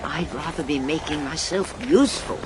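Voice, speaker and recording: An older actress with an English accent playing a gentle, observant elderly lady sleuth in a British radio drama, genteel and thoughtful. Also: low-pitched, normal speed, heavy background noise, natural voice.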